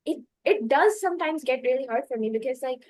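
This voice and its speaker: A woman's voice: high-pitched, lively intonation, brisk, a little mellow, dry recording. A young woman whose first language is Urdu, hosting a podcast in casual, chatty talk full of laughs and filler words. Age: young adult. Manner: chatty, laughs often, many filler likes. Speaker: podcast host.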